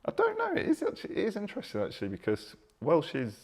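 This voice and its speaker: A man's voice: on the high side, wildly swooping pitch, fast talker, gravelly, husky. A man co-hosting a live stream, chatting casually and reading out viewer comments. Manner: chatty, self-deprecating, reads out viewer comments.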